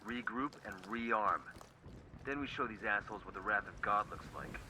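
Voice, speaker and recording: An actor playing a stern, focused special forces soldier giving terse reports in a video game. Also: male voice, on the low side, expressive, normal speed, noisy background, lightly acted. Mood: determined. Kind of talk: video game squad dialogue.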